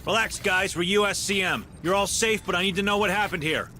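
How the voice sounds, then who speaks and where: Reassuring young-sounding soldier, high forceful tone, sci-fi game, faint noise. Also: male voice, fairly quick. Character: reassuring male space marine questioning captives. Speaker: marine.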